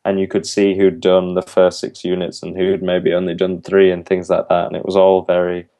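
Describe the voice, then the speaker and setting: A man's voice: low voice, monotone, normal speed, warm tone. A young man, a university language student, answering interview questions thoughtfully and earnestly, with some ums and restarts. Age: young adult.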